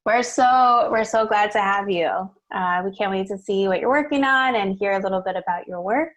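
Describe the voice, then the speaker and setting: Friendly woman host, mid-pitched voice. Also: moderate pace, fairly bright tone. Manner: friendly, welcoming. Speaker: host, interviewer. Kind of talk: casual live event hosting.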